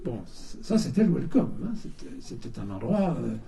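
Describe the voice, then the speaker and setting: An older man giving a talk at a book launch in a bookshop, hesitant, repeating words and pausing with many fillers, recorded from a distance. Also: mid-range voice, very animated, crowd noise. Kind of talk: halting talk to a small audience. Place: bookshop, indoors. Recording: distant mic in a room.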